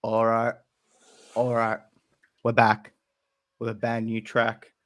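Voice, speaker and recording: A man's voice: mid-range voice, completely flat delivery, takes it slowly, a bit bright. A man hosting a casual football podcast with his co-hosts, talking loosely and restarting his sentences. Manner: rambling, restarts a lot. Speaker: podcast host.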